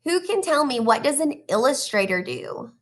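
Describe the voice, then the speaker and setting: A woman reading a children's storybook aloud like a teacher, expressive and deliberate, speaking directly to young listeners. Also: high voice. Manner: addresses children directly with questions and praise.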